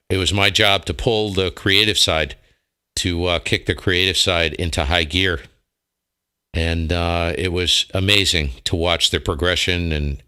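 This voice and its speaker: Deep-voiced man, bright and clear, telling stories. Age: middle-aged. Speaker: amateur radio operator. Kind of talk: casual radio chat, storytelling.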